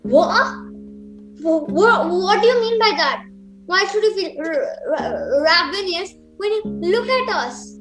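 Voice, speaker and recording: A woman voices a nervous, frightened child in a radio play. The voice is very high and hesitant, stumbling over words, with music playing underneath. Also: lively intonation, slow, fairly bright tone, echoey room, exaggerated voice. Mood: nervous, alarmed. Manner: stammering, hesitant. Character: frightened, stammering child lost in a spooky castle. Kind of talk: amateur radio play acting.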